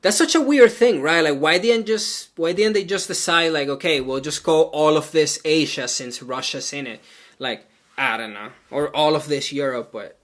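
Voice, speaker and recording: A man from Venezuela, a native Spanish speaker, codes on a live stream and chats loosely with his viewers. His voice sits a little high and sounds bright and forward. He thinks aloud, full of "like" and "um", with keyboard typing heard behind him. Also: moderate pace. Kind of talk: casual live coding stream, chatting with viewers.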